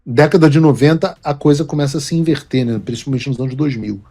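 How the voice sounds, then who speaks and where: Husky-voiced male political commentator. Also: medium pitch, fast talker, dark tone. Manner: emphatic, lecturing, with restarts. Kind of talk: opinion commentary.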